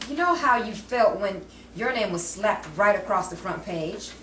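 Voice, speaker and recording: A woman's voice: medium pitch, animated, moderate pace, a bit bright, close to an everyday voice. A woman playing a sensible, reproving female friend in a 1940s radio comedy. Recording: echoey, distant, faintly noisy recording.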